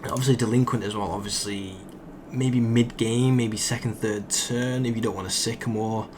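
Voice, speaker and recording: A young man, croaky from just waking up, rambling casually as he commentates a card game he is playing. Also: on the low side, fairly even intonation, moderate pace, noisy background. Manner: rambling, thinks aloud with fillers. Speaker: gaming channel host.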